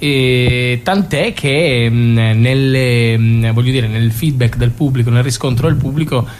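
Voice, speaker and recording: A man of medium pitch speaks as an interview guest. His phrasing is deliberate and hesitant, and faint rumbling noise sits behind him. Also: darkish tone. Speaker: stage actor being interviewed. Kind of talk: conversational radio interview. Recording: clear background noise with rumble.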